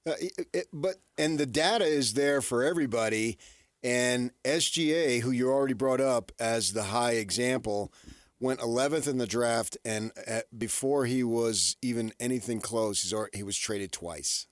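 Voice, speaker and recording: An American man co-hosting a sports debate, measured, conceding a point and then countering it. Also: mid-range voice, no room sound, clean studio sound. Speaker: sports radio co-host.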